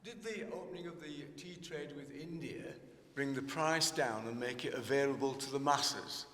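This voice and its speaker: A man in the audience with a big, loud voice asking the lecturer a question. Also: medium pitch, normal speed, roomy sound, long reverb tail, a little hiss or hum.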